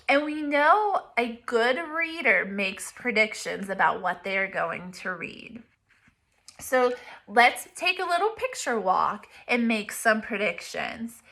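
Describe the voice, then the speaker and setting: Female primary teacher for picture-book read-aloud: crisp bright tone, unhurried pace, simple explanations for little ones. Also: a little high. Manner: simple, deliberate explaining to young children. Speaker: elementary teacher reading aloud.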